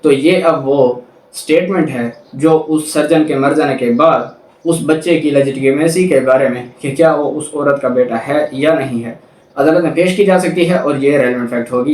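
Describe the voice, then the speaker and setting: A man's voice: medium pitch, normal speed, darkish tone. Male Pakistani law lecturer, methodical explainer, heard in a very echoey room at some distance from the mic. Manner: methodical, didactic, explains with everyday examples. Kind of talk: explanatory lecture, reading statute clauses aloud then explaining.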